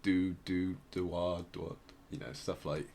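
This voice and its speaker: Low-voiced man hosting a casual podcast. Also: steady tone, unhurried, creaky, a little mellow, slight background noise. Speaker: podcast host. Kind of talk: casual explanatory podcast chat.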